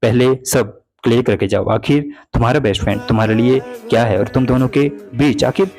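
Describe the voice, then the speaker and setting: A man's voice: medium pitch, a little fast, lightly acted. A man acting as a hurt young boyfriend over music. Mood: bitter. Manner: reproachful, pleading. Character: hurt, jealous young boyfriend accusing his girlfriend. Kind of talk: dramatized breakup phone conversation in Hindi. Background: background music.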